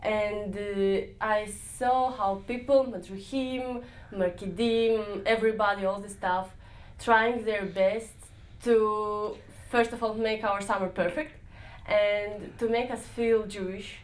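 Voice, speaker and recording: A young woman answering interview questions, searching for words with frequent pauses and restarts. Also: medium pitch, fairly even intonation. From Israel. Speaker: interviewee, volunteer dance leader.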